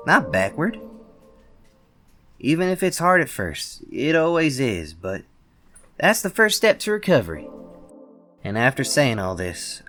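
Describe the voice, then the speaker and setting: A scrappy young cartoon bunny boy, acted by a man, speaks in a Southern US drawl. His delivery is forceful and very animated, earnest and fed up, with folksy emphasis. Music plays behind the voice. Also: mid-range voice. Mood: earnest, hopeful, then resolute, hurt. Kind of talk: dramatic cartoon monologue.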